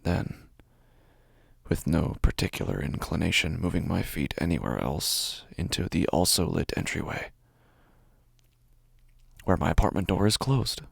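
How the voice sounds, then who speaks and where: Very deep, slow man acting a haunted horror narrator. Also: lively intonation, dry recording, clean studio sound, exaggerated voice. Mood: dazed, uneasy. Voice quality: low, gravelly, often breathy and near whispering. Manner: slow, halting, broken phrases with heavy pauses. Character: dazed, fearful man narrating his own waking nightmare. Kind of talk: first-person horror audio fiction narration.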